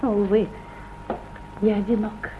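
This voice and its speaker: An older actress playing a lonely Moscow French teacher who talks eagerly and chattily, with coquettish self-deprecation. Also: female voice, medium pitch, flat delivery, unhurried, dark tone, a little hiss or hum, lightly acted, poor audio. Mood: chatty. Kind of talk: stage play acting.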